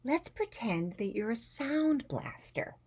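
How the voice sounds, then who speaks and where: A woman voicing a gentle, patient female schoolteacher in a children's storybook, coaxing quietly. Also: on the low side, normal speed, lightly acted. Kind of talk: read-aloud children's storybook character.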